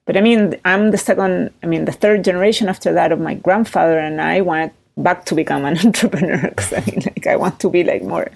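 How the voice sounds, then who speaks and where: A woman with a Chilean accent speaks in a low, gravelly voice. She is a founder talking in an interview, lively and full of fillers and rising tags. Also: a little fast, hardly any pauses. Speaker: startup founder. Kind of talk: interview conversation.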